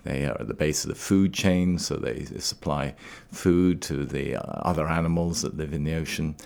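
A middle-aged man speaks in an exceptionally deep voice as an expert interview guest. He is from Trinidad and spent years in Scotland. He explains things in an articulate, measured way. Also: gravelly, darkish tone. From Trinidad, later Scotland. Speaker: research laboratory director, interview guest.

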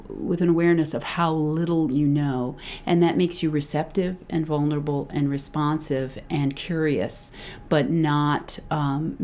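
Low-voiced woman, thoughtful and laughing. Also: dark tone. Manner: thoughtful, laughs often, many restarts. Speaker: children's book author and illustrator. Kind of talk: reflective interview talk.

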